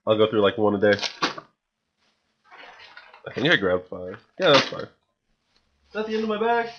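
A young man talks into a desk microphone in a small room at home, chatty and keen, with the odd restart. His voice sits on the lower side. The recording is dull and lo-fi. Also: wildly swooping pitch, moderate pace, noisy background. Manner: chatty, enthusiastic, with frequent filler restarts. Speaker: vlogger. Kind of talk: casual grocery haul vlog. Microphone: studio mic on a stand, wearing headphones.

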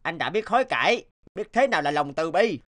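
Solemn, high-pitched divine dog. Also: male voice, expressive, brisk, fairly bright tone. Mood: solemn encouragement. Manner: declamatory, admonishing. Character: divine talking dog, stern, solemn moral admonisher. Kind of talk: animated folk-tale dubbing.

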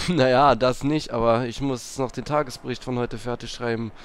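A man's voice: medium pitch, fairly even intonation, normal speed, clear, resonant tone, close to an everyday voice. A man playing an uneasy camp worker on a late shift in an amateur horror audio drama, in a naturalistic muttering way. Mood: casual, chiding. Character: uneasy German male camp worker named Hans, working late.

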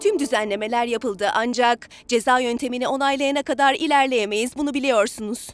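A woman plays a formal cartoon judge who lays down rulings with clipped authority. Her voice is slightly high and very dry, over faint music. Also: brisk, no echo, close to an everyday voice. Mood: official. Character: stern, formal female disciplinary judge. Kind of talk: cartoon dub acting.

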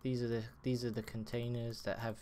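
A man in his thirties giving a casual live software tutorial from his desk, speaking through a headset and thinking aloud as he works. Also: mid-range voice, level tone, a little hiss or hum. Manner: slow, halting, muttering while working. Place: small room at a computer desk.

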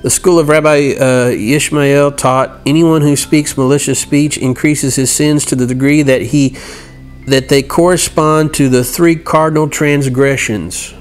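A middle-aged man teaching from scripture in an earnest, halting way, reading passages and explaining them. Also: on the high side, steady tone, clean tone, soft background music. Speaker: Bible teacher. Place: indoor room by a large window. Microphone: lapel mic.

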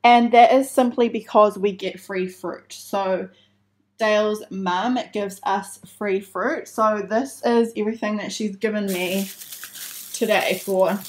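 A woman's voice: medium pitch, steady tone, slow. A young woman casually chatting through her grocery haul at a kitchen table, with lots of ums and uhs. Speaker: vlogger showing a grocery haul. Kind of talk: casual chat. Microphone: phone camera held over the table.

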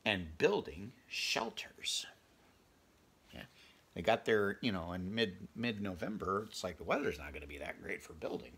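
A man in his fifties with a gravelly voice reads a picture book aloud, adding chatty, conversational asides for young listeners. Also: sits low, expressive, normal speed, a bit bright. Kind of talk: children's read-aloud with casual asides. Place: small furnished bedroom, indoors.

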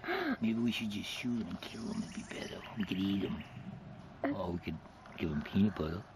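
A middle-aged man filming a family camping trip in the woods, joking and teasing in a low, offhand way into a handheld camera. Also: huge pitch swings, fairly quick, gravelly. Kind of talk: casual home video chat. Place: outdoors in a wooded campground. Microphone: handheld camera held close. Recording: outdoor camcorder noise.

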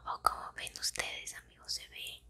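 A woman's voice: extremely low pitch, monotone, normal speed. Whispering young woman, ASMR. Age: 20s. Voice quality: breathy unvoiced whisper. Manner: soft, intimate, close to the listener. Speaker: ASMR video creator. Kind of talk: whispered ASMR, casual chat to viewers. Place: small room indoors.

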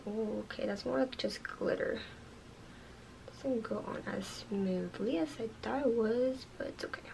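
A young woman in her twenties, a bit hoarse from losing her voice, chatting casually as she unboxes and reviews makeup at home, filling her talk with "like" and "you guys". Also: fairly high pitch, a little slow, a little mellow, a little hiss or hum. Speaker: beauty vlogger. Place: bedroom with makeup shelves, indoors.

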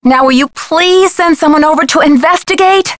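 An actress voicing an earnest, determined young American amateur detective in a video game, speaking clearly, politely and insistently. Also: female voice, high-pitched, normal speed, no echo, lightly acted. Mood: upset, insistent.

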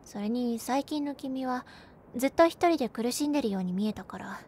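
Woman acting a high-voiced, tender young girlfriend. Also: fairly quick, slight background noise, close to an everyday voice. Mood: reassuring, tender. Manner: soft, tender, intimate, playful at times. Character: gentle, cheerful young girlfriend comforting her overworked boyfriend. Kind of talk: Japanese binaural situation-voice roleplay, spoken to the listener.

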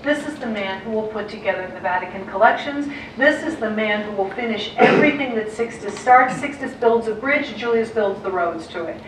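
An American woman art historian giving a lively illustrated lecture to clergy, with quick asides and acted-out quotes, heard from a distance in a large auditorium. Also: medium pitch. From United States. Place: large dim auditorium with projector screen. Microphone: distant room mic, speaker at lectern far from camera. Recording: echoey hall from far off, faint hiss.

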